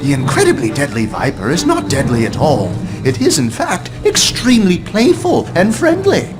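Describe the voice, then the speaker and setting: Casting: avuncular, excitable game scientist, high-pitched with huge melodic swings. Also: male voice, moderate pace, over music. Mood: cheerful. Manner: avuncular, enthusiastic.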